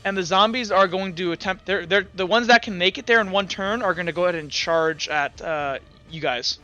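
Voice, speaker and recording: High, bright-voiced young man running the game. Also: fairly quick. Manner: rambling, many fillers and self-corrections. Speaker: game master. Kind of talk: running a tabletop game online.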